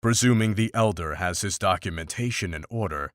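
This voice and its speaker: A man playing a stern, pragmatic older monster hunter in a fantasy visual novel, speaking in a formal, measured, unsentimental way. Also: deep voice, no echo, clean studio sound, natural voice. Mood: measured, instructive.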